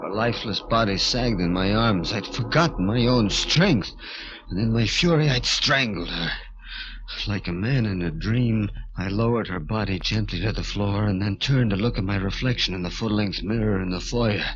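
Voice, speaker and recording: A man's voice: on the low side, moderate pace, muffled recording, natural voice. An actor playing a tormented, violent giant of a man in a vintage radio drama, intense and melodramatic. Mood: anguished. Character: tormented growing giant man, violent, desperate, former freak.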